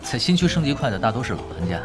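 A man plays a shrewd, easygoing veteran gamer leading a raid in an audio drama. His voice has a middle pitch and very lively swings, from unhurried teasing to firm orders. Loud music plays behind him. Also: close to an everyday voice. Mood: relaxed, amused. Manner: unhurried teasing in talk, commanding when giving orders. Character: laid-back, shrewd veteran online gamer and raid leader. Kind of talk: Chinese audio drama acting.

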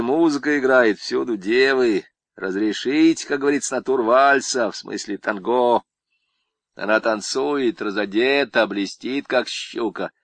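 A man voicing a swaggering young male convict who brags in slangy, drawn-out jabs. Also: mid-range voice, creaky, a bit bright, dry recording, pitched above the performer's own voice.

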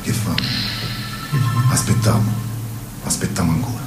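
A man's voice: deep voice, steady tone, normal speed, natural voice. An actor in a radio play as a patient, firm travelling man with a Roman Italian accent. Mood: resigned. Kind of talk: radio theatre acting. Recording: roomy distant mic, music over it.

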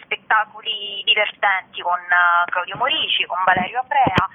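A woman, speaking as an event organiser and giving information in an interview, with hesitant pauses. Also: medium pitch, husky. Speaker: festival organiser and spokesperson. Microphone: telephone. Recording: muffled, tinny telephone line.

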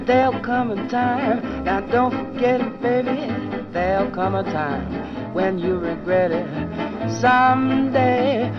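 A woman's voice: medium pitch, fairly even intonation, takes it slowly. A woman singing an old jazz standard on a dull vintage recording with a band. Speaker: singer. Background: guitar and band music.

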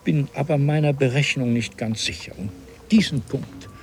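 An actor playing an elderly, courteous scholar from an ancient civilization in a German radio play, speaking in formal, archaic, ornate phrases. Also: male voice, mid-range voice, normal speed, lightly acted. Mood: earnest, explaining. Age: older. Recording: 1970s radio play with audible noise.

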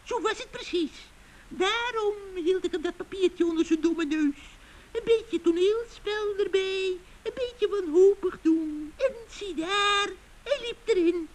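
Witch, very high shrill voice, drawn-out slow delivery, cackling, gloating, vintage radio play. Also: low-quality recording. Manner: taunting with cooing nicknames. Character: gloating scheming witch, female, adult. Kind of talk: 1960s children's radio play.

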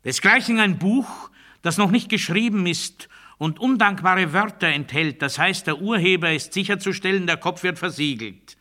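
A regimented man obsessed with obedience in a literary radio play. His voice sits rather high, with a very sharp, forceful edge. He delivers absurd doctrine deliberately, as a declamation. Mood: quiet, controlled confiding. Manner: deliberate, declamatory, swinging from hushed confiding to strident proclamation.

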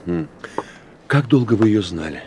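An actor playing a courteous, reserved doctor who investigates in a radio play, speaking politely and dryly. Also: male voice, lowish voice, animated, measured pace, warm tone, a little hiss or hum.

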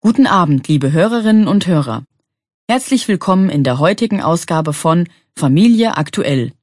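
An actress playing a polite radio show moderator, speaking in a formal, scripted way. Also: female voice, lowish voice, animated, moderate pace, a little mellow, no echo, clean studio sound. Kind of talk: scripted exam dialogue.

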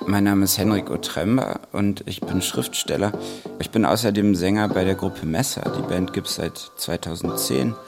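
A man's voice: sits low, moderate pace, gravelly, over music. A man, a writer and singer, talking reflectively in an interview and searching for his words.

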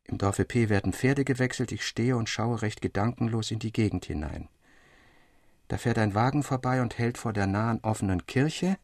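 An actor playing a storyteller among literary friends, speaking in a measured, formal and expressive way. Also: male voice, on the low side, no echo, studio quality. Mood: hushed, tense. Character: male storyteller Theodor, literary friend reading his tales, defending fragments. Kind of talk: literary audio drama narration.

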